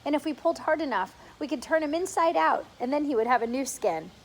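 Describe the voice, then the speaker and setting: A woman doing a gloomy, deadpan earthworm. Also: sits high, fast talker, poor audio. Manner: glum, deadpan.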